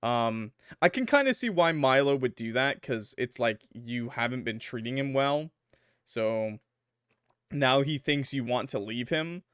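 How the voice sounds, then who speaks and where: A young man giving casual, rambling let's-play commentary as a gaming YouTuber, chatty and quick to laugh, his voice a little worn. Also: medium pitch, lively intonation, fairly bright tone, clear, resonant tone, no echo, clean studio sound. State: losing his voice.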